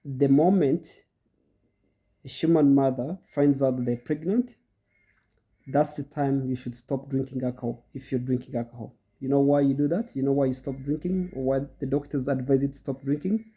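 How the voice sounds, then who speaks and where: A man's voice: mid-range voice, steady tone, moderate pace, mellow, slightly rough. A middle-aged goat farmer with a cold, hosting his channel and explaining step by step in a chatty way to his viewers, often repeating himself. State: congested from a cold. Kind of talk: casual instructional talk to viewers.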